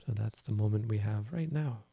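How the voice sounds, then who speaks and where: A man with a quiet voice giving a calm, slow Buddhist talk to an online audience. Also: on the low side, vocal fry, husky, no room sound. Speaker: Buddhist teacher. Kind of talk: dharma talk.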